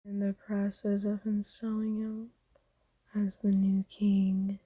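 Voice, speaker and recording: A woman voicing a kind, doting, centuries-old vampire in a soft, breathy whisper, speaking formally and tenderly in an ASMR roleplay. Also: mid-range voice, completely flat delivery, dry recording. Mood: calm, genteel. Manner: slow, tender, formal wording.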